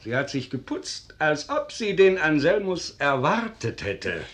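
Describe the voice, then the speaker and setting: A man's voice: fairly high pitch, big pitch swings, a little slow, a bit bright. An actor in a radio play voicing a hearty, fussy middle-aged schoolmaster father with a pompous, avuncular manner. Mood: jovial. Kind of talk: German radio play acting.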